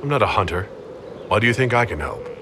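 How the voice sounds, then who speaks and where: An actor playing a composed, inquisitive hero and leader in a fantasy game, with a direct, questioning manner. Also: male voice, fairly low pitch, big pitch swings, quick pace, noisy background.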